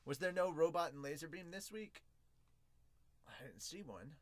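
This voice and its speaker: A man hosting a podcast in an excitable, informal, slangy way, chatting casually as he reviews and reads out comments. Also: on the high side, normal speed.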